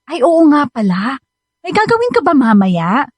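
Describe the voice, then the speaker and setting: A woman's voice: high voice, big pitch swings, moderate pace, no room sound. A Filipino woman playing a sweet, caring young stepdaughter in a radio drama, affectionate and cheerful.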